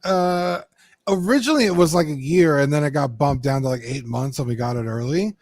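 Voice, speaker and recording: A man's voice: a little high, brisk, a little mellow, no room sound, clean studio sound. A young man co-hosting a casual collectibles podcast, rambling with lots of ums and the odd swear. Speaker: co-host.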